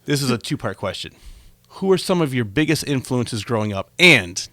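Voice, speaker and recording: Man, talk show host, mid-pitched voice, chatty storyteller, conversational, very dry sound. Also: big pitch swings, moderate pace, pushed and bright, dry recording. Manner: chatty, tells anecdotes with acted-out quotes. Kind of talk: conversational interview.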